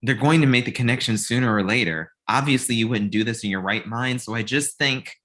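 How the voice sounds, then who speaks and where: A man's voice: medium pitch, a little fast, a bit bright, close to an everyday voice. A man playing an exasperated, worried roommate in a comedy script reading, casual and incredulous. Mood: serious. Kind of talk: comedic script table read.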